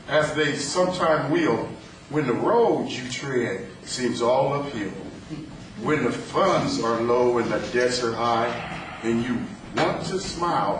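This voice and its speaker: A man in his fifties with a loud voice, reciting a poem to a rally. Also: mid-range voice. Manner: loud, declaiming with slow emphatic delivery. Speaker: rally speaker. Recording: echoey, distant, with clear background noise.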